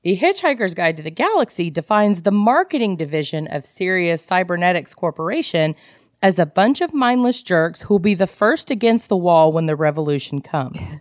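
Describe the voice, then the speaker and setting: A woman co-hosting a podcast, chatting casually and in an animated, geeky way about a book. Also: lowish voice, moderate pace, no room sound, studio quality. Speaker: podcast co-host. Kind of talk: casual book club chat, reading out quotes.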